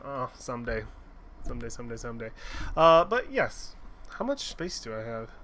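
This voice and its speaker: A man's voice: medium pitch, very animated, moderate pace, fairly bright tone, a little hiss or hum. A man streaming a game, recapping the story in a rambling, joking way with lots of fillers and casual swearing. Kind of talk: casual let's-play commentary.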